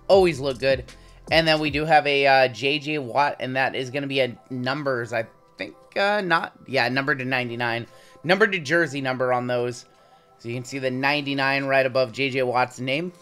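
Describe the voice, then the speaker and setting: A man in his thirties with a sore throat and a slightly raspy voice, chatting casually through a pack opening. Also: medium pitch. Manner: relaxed, chatty running commentary with frequent "uh"s. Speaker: card-breaking channel host. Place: indoor home studio with logo backdrop.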